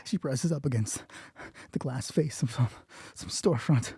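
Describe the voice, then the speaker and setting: Husky male solo game master. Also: mid-range voice, warm tone, no echo, clean studio sound. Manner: halting, thinking aloud. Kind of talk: improvised narration of tabletop roleplay, reading dice.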